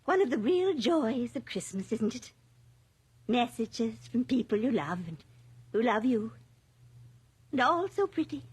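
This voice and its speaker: A woman's voice: on the high side, sing-song, a little slow, pauses often, husky, full character voice. Fluttery, sing-song sweet old lady. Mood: wistful, cheerful. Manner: rambling, fluttery, cheerful hostess. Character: sweet, chatty, lonely, forgetful elderly village lady. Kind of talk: radio drama acting.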